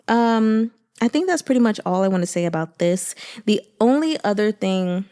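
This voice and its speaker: Woman, medium pitch, casual book commentary, chatty, informal, expressive.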